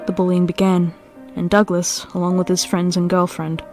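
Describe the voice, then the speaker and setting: A woman's voice: fairly low pitch, level tone, moderate pace, darkish tone. Woman narrating a story over music. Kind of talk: scripted dramatic story narration.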